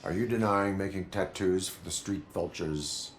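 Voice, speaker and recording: A man playing a stern robotic cyborg police officer in a video game, speaking in a formal, clipped, deadpan way. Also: sits low, normal speed, faint music behind, lightly acted. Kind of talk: video game cutscene acting.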